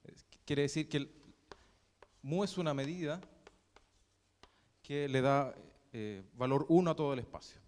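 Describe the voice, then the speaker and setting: A young man with a Chilean accent giving a university math lecture, halting often with fillers and restarts. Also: fairly high pitch, a little mellow. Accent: Chilean Spanish. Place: lecture hall. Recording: reverberant lecture hall.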